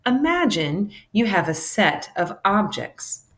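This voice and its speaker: A woman reads aloud to children like a teacher sharing a book. Her pace is slow and her pitch moves up and down a lot. She is animated and acts out the dialogue. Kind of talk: expressive children's read-aloud.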